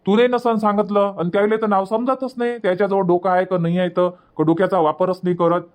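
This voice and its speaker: Man voicing a high-pitched exasperated cartoon husband. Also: little pitch movement, quick pace, natural voice. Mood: reproachful. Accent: Varhadi Marathi from Vidarbha. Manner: insistent, repetitive, reproachful. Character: exasperated husband and son in a village household. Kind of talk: cartoon comedy dubbing.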